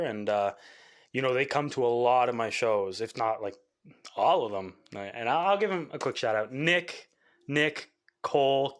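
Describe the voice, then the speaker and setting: A young man, a musician from Canada, talking casually in an interview, rambling with lots of fillers and quick laughs. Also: medium pitch, fairly bright tone. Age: young adult. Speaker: musician guest.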